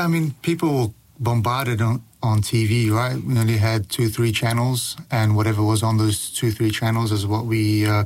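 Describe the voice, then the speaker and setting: A young man with a fairly low voice, chatting easily as a guest. He talks in a relaxed, loose way, full of fillers and restarts. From Pakistan. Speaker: guest author. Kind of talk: casual interview talk.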